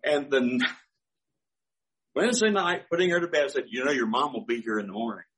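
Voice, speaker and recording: A man's voice: medium pitch, brisk, fairly bright tone. An older man, a pastor, preaching a sermon through folksy personal stories with chuckling asides.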